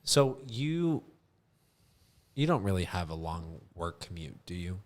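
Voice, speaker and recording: A man's voice: low-pitched, very animated, measured pace. A man co-hosting a casual podcast, teasing the others with dry quips. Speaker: podcast co-host. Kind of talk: casual chat.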